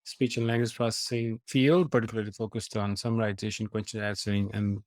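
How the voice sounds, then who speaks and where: A man's voice: fairly low pitch, flat delivery, brisk, darkish tone, dry recording, clean studio sound. A man in his forties from Nepal, a company founder, giving earnest, explanatory interview answers with some fillers and restarts.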